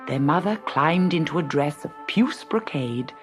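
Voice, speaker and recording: A woman storyteller giving an expressive scripted reading of a fairy tale. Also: sits low, moderate pace, music in the background.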